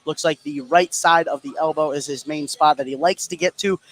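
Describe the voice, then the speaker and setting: A man doing excitable live play-by-play of high school basketball in short running phrases. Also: fairly quick, a little hiss or hum. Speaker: play-by-play sports commentator.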